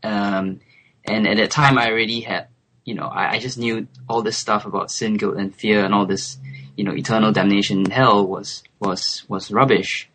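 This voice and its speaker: Junger Mann aus Singapur, tiefere Stimme. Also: male voice, lowish voice, fairly even intonation, normal speed, slight background noise, low-quality recording. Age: 20s. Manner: thoughtful, laughs softly, hesitant fillers. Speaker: guest. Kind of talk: relaxed interview chat. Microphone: remote call.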